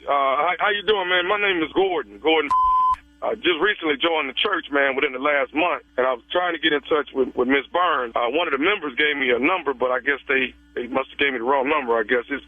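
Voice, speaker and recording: Man in his thirties, fairly high voice, casual prank caller who stalls with fillers, heard through a dry tinny phone line. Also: no echo. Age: 30s. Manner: halting, casual, many fillers.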